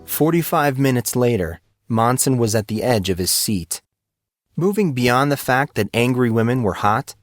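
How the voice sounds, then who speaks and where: A man's voice: on the low side, no room sound, studio quality. A man giving scripted, dramatic narration of a story as an audiobook narrator.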